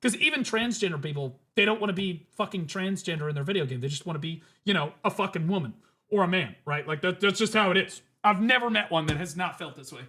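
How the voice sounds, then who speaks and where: A man's voice: high voice, animated, fast, pushed and bright. A man streaming, reacting to clips with emphatic, profane, rambling commentary. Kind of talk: casual ranting commentary.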